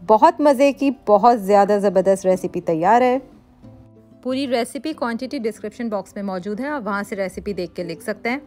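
A woman hosting a cooking channel, calmly explaining a recipe step by step in a voice-over and mixing English words into her talk. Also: medium pitch, brisk, faint music behind. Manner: calm, instructive, mixes English words into Hindi.

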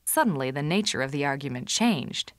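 An actress playing a prim, argumentative woman who tells her own story in wry, measured cartoon narration. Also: sits low, lively intonation, a little hiss or hum. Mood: wry, assertive. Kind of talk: scripted first-person cartoon narration. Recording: music under the voice.

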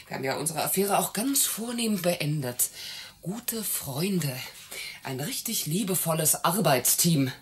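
An actress in a radio play, playing a bitter, jealous secretary who speaks in a reproachful, hesitant way. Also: female voice, sits low, animated, slight background noise. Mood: bitter, sarcastic. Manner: sarcastic, reproachful, hesitant pauses. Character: bitter, jealous secretary and former mistress of her boss. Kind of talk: naturalistic German radio play acting.

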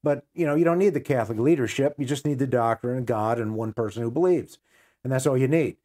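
An American man in his sixties speaks at an ordinary male pitch in a conversational commentary style. He stresses his points by repeating phrases and posing rhetorical questions, as a guest in a discussion. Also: fast, warm tone. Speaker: interview guest. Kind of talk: conversational political and religious commentary. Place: small book-lined room indoors. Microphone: lapel mic.

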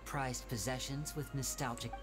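A woman voicing a composed, theatrical young male magician in scripted game dialogue, speaking with smooth, knowing deliberation. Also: very deep voice, steady tone, moderate pace. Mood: cold, solemn. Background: game music.

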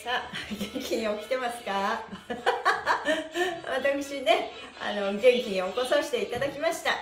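A middle-aged woman with a fairly high voice hosts an upbeat stretching stream. She is cheerful and courteous, chatting and counting along. The room sounds echoey, with faint music behind her. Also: expressive, slow pace, a bit bright. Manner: cheerful, smiling, very polite with honorifics. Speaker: stretching instructor and livestream host. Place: small indoor room, on a mat.

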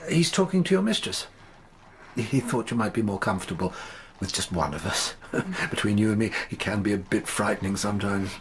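A man playing a kindly, middle-aged English gentleman doctor in a radio drama, gentle and coaxing, with an English accent. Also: on the low side, fairly quick, noisy background, lightly acted. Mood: reassuring. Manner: gentle, coaxing, softly hesitant.

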